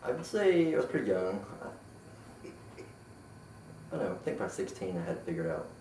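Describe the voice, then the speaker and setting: A man in his late twenties giving relaxed, offhand interview answers. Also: fairly low pitch, moderate pace. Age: 20s. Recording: roomy, distant mic, background noise.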